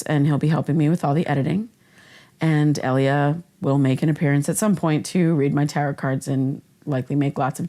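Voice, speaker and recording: A woman's voice: low-pitched, dark tone. An actress playing an earnest, vulnerable young woman who confides in podcast listeners in a casual, hesitant, candid way. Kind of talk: scripted podcast narration, confessional.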